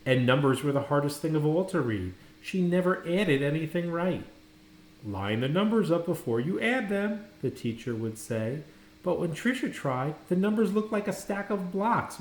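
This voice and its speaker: Expressive man reading aloud, lively pitch. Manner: dramatic, with pauses and stressed words. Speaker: storybook reader. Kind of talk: expressive read-aloud of a children's story. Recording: roomy echo, faint background noise.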